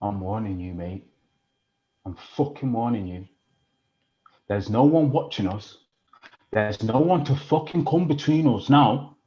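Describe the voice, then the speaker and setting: An actor playing a rough, foul-mouthed lad who mocks and threatens, in an audio drama with a slightly dull sound. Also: male voice, lowish voice, expressive, measured pace, long pauses, mellow. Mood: menacing. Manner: swearing, menacing, mocking. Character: rough working-class British boy, aggressive, crude, defensive. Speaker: actor in a stage play.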